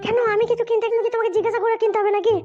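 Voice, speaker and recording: Naggy cartoon wife, west bengal accent, super high sped-up squeak, talks nonstop fast, dull lo-fi sound w music behind. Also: female voice, level tone, darkish tone. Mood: defiant. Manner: scolding, nagging, foul-mouthed. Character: shrill, quarrelsome young wife, defiant and aggrieved. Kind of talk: amateur cartoon comedy squabbling.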